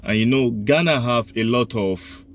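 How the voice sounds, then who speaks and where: A man's voice: medium pitch, moderate pace, a little hiss or hum. A man hosting a talk show, eagerly agreeing with his guest and adding his own points. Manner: eager, frequently affirms the guest. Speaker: show host. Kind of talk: conversational discussion.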